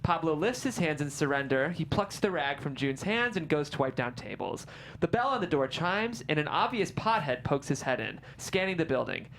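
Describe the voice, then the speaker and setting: A low-voiced woman narrates a script at a table read, reading out stage directions. Her delivery is lively in pitch, with clear noise behind her. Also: moderate pace. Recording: noticeable background noise.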